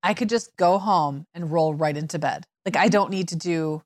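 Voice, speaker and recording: A woman in her forties in casual conversation, telling family stories in an animated way with lots of fillers. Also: lowish voice, moderate pace, no room sound. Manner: chatty, animated storytelling with fillers.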